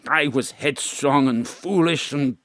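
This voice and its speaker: An actor playing a pompous, melodramatic veteran male stage actor who swings between booming declamation and hushed confession, recorded from a home studio. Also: takes it slowly, no room sound. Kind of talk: live remote audio drama acting.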